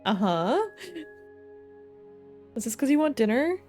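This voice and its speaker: A woman's voice: a little high, very animated, unhurried, warm tone. A woman in her twenties talks casually to her live stream. She chats as she plays a game, with music running behind her voice. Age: 20s. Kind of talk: casual gaming stream chat.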